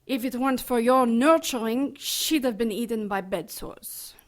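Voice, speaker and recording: A woman's voice: fairly high pitch, moderate pace, lightly acted. A woman playing a cynical, callous nun in an audio drama, with a blunt, sarcastic and grumbling manner. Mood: sardonic.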